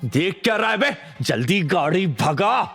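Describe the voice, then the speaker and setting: An irritable gangster villain of an audio drama, played by a male narrator. His voice jumps high and swings widely as he blusters and swears, yet he is easily rattled. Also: sing-song, measured pace, a bit bright, breathy edge, faint music behind, heavily acted. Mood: angry, irritated. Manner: irritable, swearing, stammers when scared.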